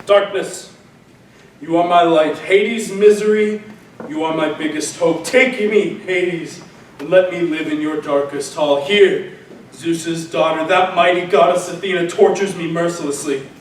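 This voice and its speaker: A man's voice: fairly even intonation, a little slow, lightly acted. A man playing a grief-mad warrior hero. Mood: despairing. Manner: declamatory stage delivery, swinging from anguished shouts to low despair. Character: grief-maddened proud male warrior hero. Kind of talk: stage tragedy acting. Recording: echoey room, far from mic, faint noise.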